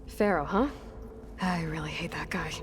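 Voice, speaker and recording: An actress playing a determined, pragmatic young hunter heroine in a video game, talking in a direct, dry, businesslike way. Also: female voice, low-pitched, normal speed, over music, lightly acted. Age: young adult. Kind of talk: naturalistic video game acting.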